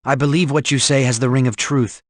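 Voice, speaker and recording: A man playing a noble, dutiful army commander in a video game, formal, measured and solemn. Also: mid-range voice, steady tone, no echo, studio quality, close to an everyday voice. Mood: grave.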